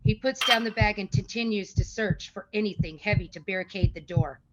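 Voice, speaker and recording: Woman narrating over clear noise. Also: mid-range voice, a little fast, muffled recording. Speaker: narrator reading stage directions. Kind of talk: scripted narration of a screenplay. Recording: clearly noisy amateur online-call recording.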